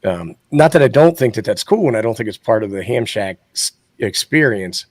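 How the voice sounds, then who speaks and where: A man's voice: on the low side, animated, normal speed, no room sound, clean studio sound. A man chatting casually and explaining his take in an informal, conversational way. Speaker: podcast panelist and ham radio hobbyist. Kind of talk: casual chat.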